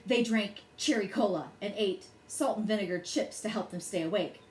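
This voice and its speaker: A woman reads a bedtime story aloud to children with expressive, dramatic phrasing. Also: medium pitch, moderate pace. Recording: roomy echo, faint background noise.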